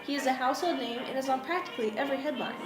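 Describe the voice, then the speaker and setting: A woman's voice: sits high, very fast. A young woman reading scripted newsreel narration as a student presenter. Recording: roomy echo, music under voice. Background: clearly audible music.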